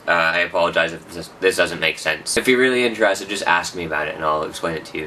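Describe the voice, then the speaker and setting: A man's voice: low-pitched, pushed and bright, far from the mic, a little hiss or hum, rough recording. A young man rambling through a casual personal update, often correcting himself. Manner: rambling, self-correcting, chatty. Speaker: vlogger.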